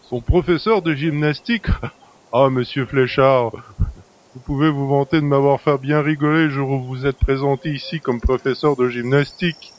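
A man's voice: mid-range voice, normal speed, natural voice. A man playing a cheeky, familiar manservant in an audio drama, chatty and mocking. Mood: amused. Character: cheeky, familiar manservant who helps himself to master's luxuries. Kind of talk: French audio drama acting.

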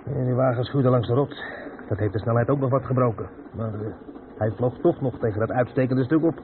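An actor playing a gruff, flustered lorry driver who grumbles bluntly and blames himself, in a Dutch radio play. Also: male voice, medium pitch, fairly quick, lightly acted. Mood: agitated. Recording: noisy, tinny old radio recording.

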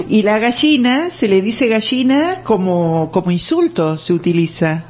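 A woman's voice: mid-range voice, very animated, measured pace. An older woman with a Rioplatense accent, a co-host musing earnestly on a radio chat, with many tag questions. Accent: Rioplatense Spanish.

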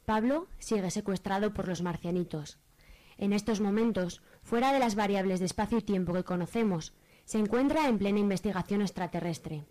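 A woman's voice: medium pitch, moderate pace, warm tone, no echo. Mock-solemn woman narrating a radio soap. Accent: Spain. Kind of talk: scripted radio drama narration. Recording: light music bed.

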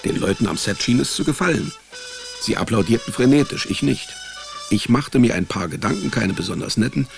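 An actor playing a wry, down-on-his-luck private detective who narrates a noir radio drama in a laconic, deadpan way. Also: medium pitch, brisk, creaky, faint music behind. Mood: dry, brooding. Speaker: narrator and lead character.

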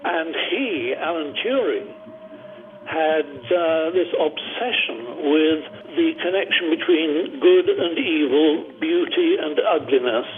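A man giving interview talk in a hesitant, deliberate way, heard through a thin phone-like recording. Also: fairly high pitch, expressive, soft background music.

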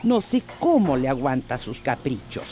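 Blunt scolder with swooping pitch. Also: female voice, very animated, moderate pace, warm tone, slight background noise. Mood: scolding. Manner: scolding, sarcastic. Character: blunt, exasperated caretaker scolding an old woman. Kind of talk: radio soap opera acting.

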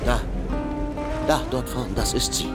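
An actor playing an aging, wary, dignified exiled Russian revolutionary in a radio drama, speaking in measured, formal phrases with a creaky voice. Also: male voice, medium pitch, loud music behind the voice, natural voice. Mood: pointing out.

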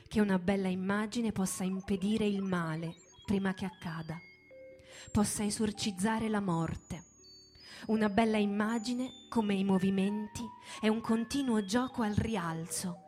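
A female performer reads a narrative in a dramatic, lyrical style. Her voice sits at a middle pitch and moves in short, deliberate poetic phrases. Music runs faintly underneath. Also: a little mellow. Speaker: storyteller, performer. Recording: music behind the voice. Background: background music.

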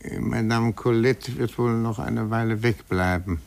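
An actor playing a methodical, cautious investigator in a 1950s German radio drama, with a gravelly, deliberate delivery. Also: male voice, mid-range voice, fairly even intonation. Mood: measured, reasoning. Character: methodical, sober, cautious male investigator weighing the evidence.

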